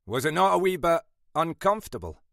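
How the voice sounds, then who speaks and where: A man's voice: a little high, big pitch swings, fairly quick, vocal fry, pushed and bright, no room sound, clean studio sound, pitched above the performer's own voice, full character voice. A man voicing a blunt, scolding older Scottish detective boss in an audiobook. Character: gruff older Scottish male detective boss, stern but fatherly. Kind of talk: audiobook character dialogue.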